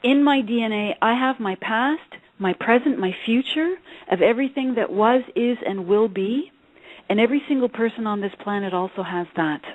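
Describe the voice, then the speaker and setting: A woman's voice: fairly high pitch, moderate pace, no room sound. A woman speaking as a guest on a talk show, earnest and hesitant, with many fillers. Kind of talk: casual talk show chat. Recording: dry tinny phone line.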